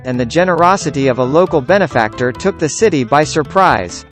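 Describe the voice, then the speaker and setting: Higher-voiced man narrating over soft guitar. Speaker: narrator introducing the program. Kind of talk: scripted documentary narration. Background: light plucked guitar music.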